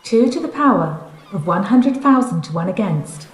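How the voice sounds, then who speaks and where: A woman playing a composed, reassuring starship announcer who speaks formally and with measured care in a comic sci-fi audio drama. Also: medium pitch, animated, reverberant, close to an everyday voice. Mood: calm, reassuring.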